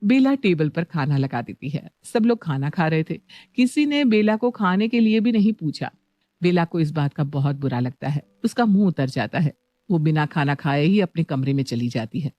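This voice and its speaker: Woman narrator reading a story script, slightly low voice, dry close sound. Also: lively intonation, moderate pace, dark tone, dry recording, muffled recording.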